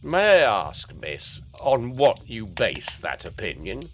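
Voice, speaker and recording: An actor playing a plain-spoken, gruff police detective sergeant of old London, halting and deliberate, in a gothic audio drama. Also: male voice, fairly low pitch, huge pitch swings, noisy background, heavily acted. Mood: matter-of-fact. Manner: deliberate, halting, working man's turns of phrase.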